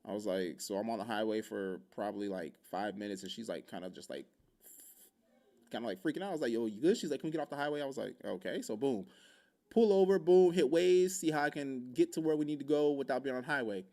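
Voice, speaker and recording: A man's voice: medium pitch, fast talker, a little mellow. A young man from Oahu telling a casual story, acting out quoted lines in shifting voices. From Hawaii, Oahu. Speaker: podcast host.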